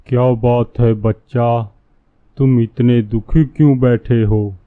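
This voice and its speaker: Guy doing a sneaky pompous fake holy man for a cartoon, slow talker, dry tinny phone-ish audio. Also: medium pitch, steady tone, dry recording, natural voice. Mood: commanding. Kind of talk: cartoon dialogue. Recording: narrow, phone-like muffled sound.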